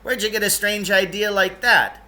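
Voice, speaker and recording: A man's voice: high voice, steady tone, moderate pace, pushed and bright, pitched above the performer's own voice. A man voicing an eccentric, brusque and impatient grown man in a children's novel, speaking emphatically and dismissively. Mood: scornful. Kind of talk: children's novel read-aloud.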